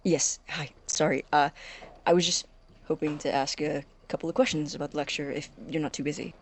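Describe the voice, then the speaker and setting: An actor playing an eager, nervous young science student in an audio drama, hesitant, stammering and apologetically polite. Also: male voice, on the high side, fairly even intonation, brisk, a little hiss or hum, natural voice. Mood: tentative.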